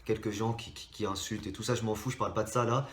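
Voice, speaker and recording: A man's voice: on the low side, fairly even intonation, quick pace, roomy sound. A young Frenchman hosting a drawing channel, talking casually to his subscribers with a stuffy nose from a cold and lots of hesitant restarts. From France. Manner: rambling, many hesitations and restarts.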